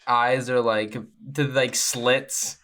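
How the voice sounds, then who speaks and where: Slow-talking sardonic man at play. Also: mid-range voice, level tone, fairly bright tone. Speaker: player. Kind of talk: tabletop roleplay.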